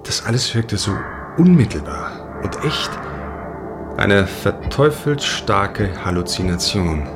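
A man playing a brooding sect leader in a science fiction audio drama, speaking with dramatic, measured delivery. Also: lowish voice, lively intonation, over music. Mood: haunted, awed. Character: brooding male sect leader in space, recounting his downfall.

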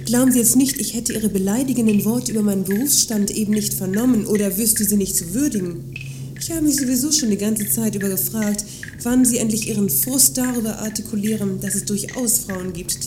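An actress playing a condescending, talkative magazine journalist in a scripted radio play, speaking in long, pompous sentences. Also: female voice, medium pitch, background noise, close to an everyday voice. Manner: pompous, wordy, haughty. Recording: music behind the dialogue.

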